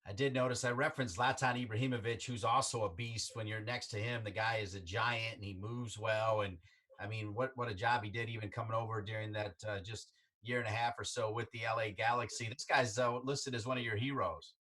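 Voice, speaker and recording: A man's voice: lowish voice, fairly even intonation, a little fast, pushed and bright. A man hosting a casual sports interview, chatty and upbeat as he asks questions. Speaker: interview host.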